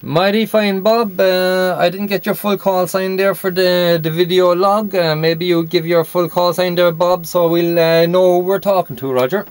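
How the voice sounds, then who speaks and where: A man's voice: high voice, steady tone, moderate pace, few pauses. An Irish man from Cork chatting as a CB radio operator, repeating and spelling out words in radio phonetics. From Ireland. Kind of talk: radio contact chat. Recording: noisy CB radio shack recording.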